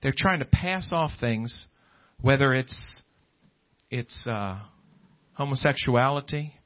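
A man's voice: medium pitch, lively intonation, slow pace, muffled recording. A man preaching a Pentecostal-style sermon to a congregation, speaking emphatically, repeating phrases and prompting listeners for agreement.

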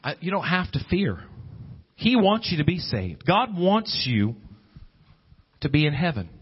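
A man preaching an evangelical sermon, exhorting earnestly with drawn-out emphatic phrases and repetition. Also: on the high side, big pitch swings, husky. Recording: dull lo-fi audio under loud guitar music. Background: loud plucked guitar music.

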